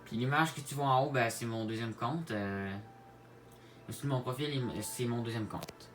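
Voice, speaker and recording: A man with a Québécois French accent giving a casual tutorial to viewers, halting and repeating his words as he goes. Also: mid-range voice, fairly bright tone. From Quebec, Canada. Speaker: gaming video creator. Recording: clear background noise, home-made recording.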